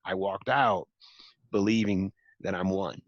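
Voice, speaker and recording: A man with a low voice talks as an interview guest in a dry, dead-sounding space. He speaks earnestly and builds to emphatic, repeated points. The audio is dull and muffled. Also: unhurried, no echo. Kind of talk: reflective interview talk.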